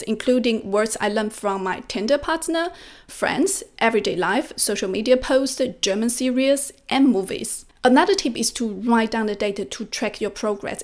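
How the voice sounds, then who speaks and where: A young woman whose first language is Chinese hosts an instructional vlog. Her voice sits on the high side. She speaks to viewers into a close desk microphone in a room at home. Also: a little mellow. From China. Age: young adult. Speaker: language-learning video host. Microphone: close desk microphone with pop filter.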